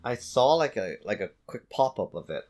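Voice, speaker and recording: A man co-hosting a podcast in casual chat, halting and repeating words for emphasis. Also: mid-range voice, very animated, a little mellow. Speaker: podcast co-host. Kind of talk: casual chat about video games.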